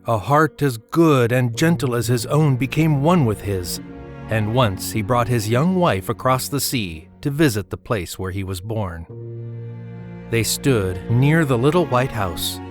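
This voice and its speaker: A man narrating a literary story for an audio drama in a measured storytelling manner. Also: mid-range voice.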